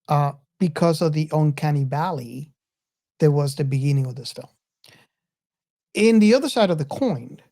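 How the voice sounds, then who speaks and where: A woman hosting a reflective film discussion, speaking haltingly with repeated words and emphatic stretches. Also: deep voice, warm tone. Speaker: podcast host.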